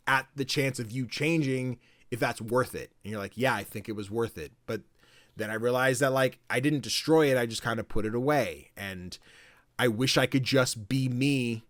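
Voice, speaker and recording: Young dude on a gaming podcast, swears a lot, gets worked up doing the characters' lines, dry close sound. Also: male voice, mid-range voice, no room sound. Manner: excited, swears a lot, acts out game dialogue in raised voices. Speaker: podcast co-host. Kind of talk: casual podcast chat.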